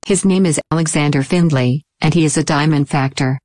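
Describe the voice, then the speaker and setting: A man's voice: a little high, fairly quick, darkish tone, dry recording, voice pushed up, close to an everyday voice. A man voicing an anxious, haughty upper-class married woman with a formal, frosty manner in a story reading. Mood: icy, offended. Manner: frigid, offended, formal.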